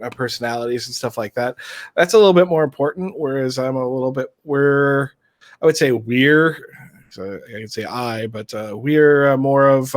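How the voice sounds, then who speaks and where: A man leading a gaming guild, talking on a casual live-stream panel, joking and rambling with lots of fillers. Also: medium pitch.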